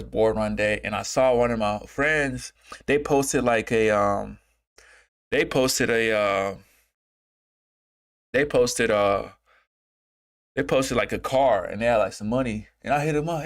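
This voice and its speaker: A man in his twenties from Uganda who moved to America, hosting a casual livestream and talking in a halting, rambling way, repeating phrases and circling back. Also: mid-range voice. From Uganda, moved to America as a child. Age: 20s. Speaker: trading streamer and host.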